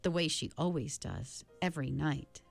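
A woman's voice: low voice, expressive, moderate pace, gravelly, faint music behind, heavily acted. A woman voicing an earnest, fiercely protective young girl in a storybook, speaking emphatically. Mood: sad.

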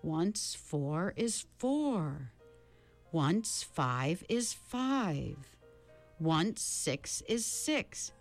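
Woman doing a sinister man on a chair, slow and mocking, lively swoops, soft music underneath. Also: lowish voice. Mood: chanting. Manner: slow, drawn-out, chanting.

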